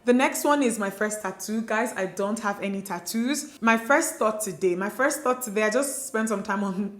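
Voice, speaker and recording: A woman's voice: medium pitch, a bit bright, echoey room. A young Nigerian woman whose first language is Igbo, chatting casually to camera with lots of fillers. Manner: chatty, filler-heavy, confiding. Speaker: beauty vlogger.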